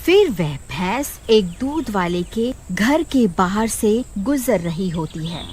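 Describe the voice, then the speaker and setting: Unhurried, animated woman storyteller. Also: mid-range voice, crowd noise. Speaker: story narrator. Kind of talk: dramatic scripted narration of a children's moral story. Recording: loud cattle and cricket sounds behind.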